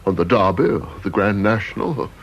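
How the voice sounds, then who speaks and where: Pedantic, sceptical British official, lowish voice, radio play, muffled old recording. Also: male voice, brisk, breathy edge. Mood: sceptical, probing. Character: probing, incredulous, urbane male security official. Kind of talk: radio drama acting.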